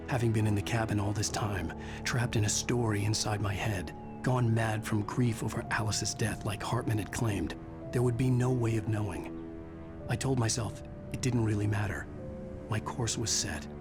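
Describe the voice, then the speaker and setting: An actor playing a brooding, weary American novelist in a video game, introspective and grimly determined in dramatic narration and dialogue. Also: male voice, on the low side, normal speed, lightly acted. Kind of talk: dramatic game acting and first-person narration. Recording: game audio under loud music.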